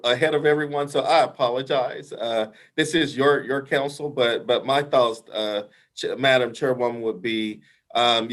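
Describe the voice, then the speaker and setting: A man's voice: mid-range voice, fairly bright tone. A man with a big voice advising a council in a meeting over a video call, talking emphatically, often ending points with "right?" and restarting his sentences. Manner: emphatic, repeats "right?" as a tag, many restarts. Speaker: invited expert advising the council. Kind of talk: meeting discussion.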